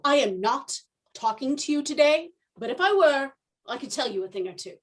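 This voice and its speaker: High female voice, bossy tourist chaperone, played by an actress, exasperated scolding, emphatic stage comedy delivery. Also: animated, moderate pace. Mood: huffy.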